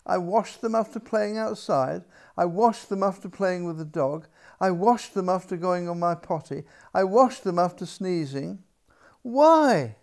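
A man's voice: high-pitched, measured pace, gravelly, a little mellow. An older man voicing a stubborn, questioning little girl princess in a storybook reading, protesting indignantly.

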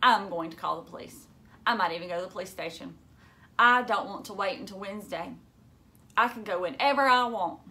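A woman's voice: medium pitch, fairly quick, pushed and bright. A woman voicing a dramatic, stubborn, anxious schoolgirl in a storybook, with an insistent, prim manner. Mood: defiant.

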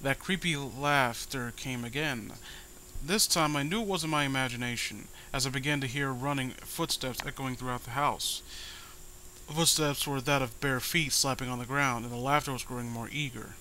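A man's voice: medium pitch, bright, forceful tone, a little hiss or hum, rough recording. A man reading a horror story aloud with dramatic delivery and sarcastic commentary. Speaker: host reading amateur horror stories.

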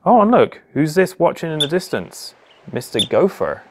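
A man reads a story aloud to young children in simple, expressive narration, posing questions and exclaiming as he goes. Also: a little high, normal speed, a little hiss or hum. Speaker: police constable reading a children's story. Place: small indoor office or home room.

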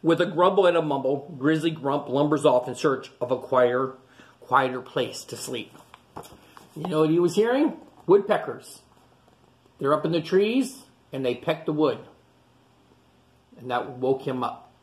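A man in his forties reads a children's storybook aloud in a classroom, close to a webcam. He reads slowly and in a slightly high voice, stopping often to explain the pictures to young listeners. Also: poor audio. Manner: animated, explains the story to children between pages. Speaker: teacher reading a picture book aloud. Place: classroom, indoors. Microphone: webcam at close range.

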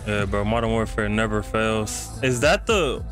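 A man's voice: lowish voice, a little slow, a bit bright, soft background music. A man giving casual livestream reactions to a game in slangy, repetitive phrases with plenty of swearing. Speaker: game streamer.

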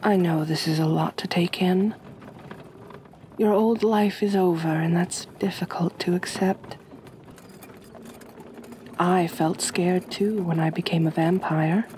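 Aristocratic female vampire mistress played by a woman, ASMR roleplay. Unhurried, gently reassuring, slightly low register. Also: fairly even intonation, a little hiss or hum. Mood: tender, soothing. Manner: gentle, measured, genteel, reassuring. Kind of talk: ASMR roleplay, soft soothing delivery.

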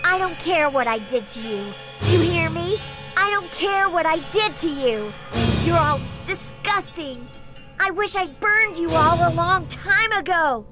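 A woman's voice: very high-pitched, expressive, measured pace. A woman playing a spoiled, frightened, spiteful young girl, melodramatic and whiny, in radio horror style. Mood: spiteful, furious. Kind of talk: old-time radio horror acting. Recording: loud music under the voice.

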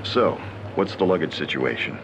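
An actor playing a calm, playful male handler who talks over the phone in a video game. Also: very deep voice, normal speed, dull audio, background noise. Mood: teasing. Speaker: game character. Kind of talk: video game cutscene acting.

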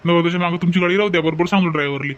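A man voicing an obliging village fellow at a cartoon wedding, hurried, soothing and repetitive, with a Varhadi Marathi accent. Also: sits high, steady tone, lightly acted. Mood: matter-of-fact. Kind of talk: homemade cartoon family drama. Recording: dull, lo-fi audio.

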